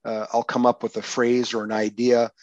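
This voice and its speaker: An older man from Canada, a guest poet, answering in a halting, casual way with many fillers and restarts. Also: medium pitch, completely flat delivery, darkish tone, lo-fi sound, slight background noise. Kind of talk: casual podcast interview.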